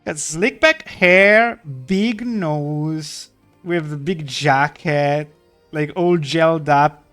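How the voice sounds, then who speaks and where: A man's voice: fairly high pitch, drawn-out words, a bit bright, soft background music. A man streaming live, chatting casually and rambling while he draws. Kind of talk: casual chat while drawing.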